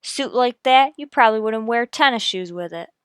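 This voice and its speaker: A woman's voice: a little high, animated, normal speed, clear, resonant tone, dry recording, clean studio sound, natural voice. A woman playing a no-nonsense, methodical police detective in a radio drama, clipped and matter-of-fact. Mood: pointed. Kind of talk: old-time radio police drama, scripted narration and dialogue.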